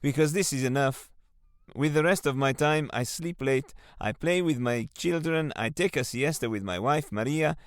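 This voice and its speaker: A man voicing a contented Mexican village fisherman in a put-on Mexican accent, relaxed and unhurried. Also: on the high side, dry recording, clean studio sound, lightly acted.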